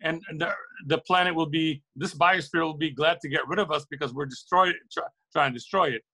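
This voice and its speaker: A man's voice: on the high side, a little fast, pushed and bright. A middle-aged man from Lebanon speaking earnestly and reflectively as an interview guest, often repeating and rephrasing words for emphasis. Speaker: interview guest, record shop owner. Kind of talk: reflective, opinionated interview talk.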